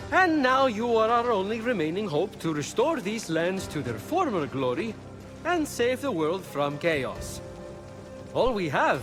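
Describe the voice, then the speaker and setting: An actor playing a glib, cheeky trickster god in a video game, with flippant, wry salesman patter. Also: high-pitched. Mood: wry, breezy. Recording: loud game music behind voice.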